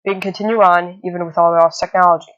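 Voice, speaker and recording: A woman playing a steady, hopeful survivor urging others to persist, in amateur audio drama dialogue on a lo-fi microphone. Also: on the low side, very fast, lightly acted.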